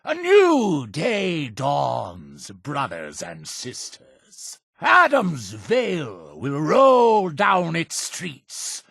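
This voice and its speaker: An actor playing a zealous, imperious cult leader in a video game, with a gravelly, husky voice and solemn, grandiose delivery. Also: male voice, fairly high pitch, wildly swooping pitch, very slow, hardly any pauses, a bit bright, no echo, studio quality, exaggerated voice. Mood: fervent, rousing. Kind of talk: theatrical video game dialogue.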